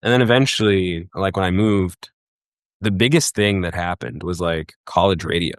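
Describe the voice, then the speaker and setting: A man's voice: low-pitched, moderate pace, dry recording, studio quality. A young man from Egypt talking casually as a podcast guest, rambling with many fillers and restarts. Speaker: guest, festival booker.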